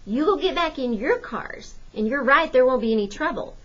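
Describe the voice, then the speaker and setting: A woman's voice: high voice, animated, moderate pace, fairly bright tone, muffled recording, voice pushed up. A woman voicing a defiant, desperate working man in a storybook, arguing insistently. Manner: insistent, confrontational.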